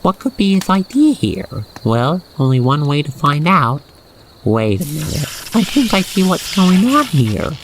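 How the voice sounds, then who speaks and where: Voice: medium pitch, sing-song, measured pace, rough recording. A storyteller narrates an ASMR roleplay directly to the listener with playful, wordy storybook phrasing. The recording has faint noise with crickets and insects behind the voice. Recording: faint background noise, cricket night ambience.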